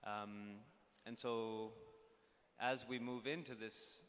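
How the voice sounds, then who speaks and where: A young man, a documentary filmmaker, giving an unscripted introduction on a conference panel and stopping often to restart and fill pauses. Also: mid-range voice, steady tone, fairly bright tone. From Pakistan (born in the United States). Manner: hesitant, many fillers and restarts. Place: conference stage in a large hall. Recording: hall reverb with faint ambience.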